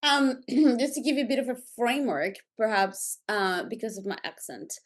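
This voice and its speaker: A woman from Colombia with a Colombian accent, a podcast guest talking casually about her moves between countries, lively and quick to laugh. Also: fairly high pitch. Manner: lively, laughs often, talks in quick fragments. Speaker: podcast guest, business founder. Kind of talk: casual interview chat. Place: remote call from a jungle location.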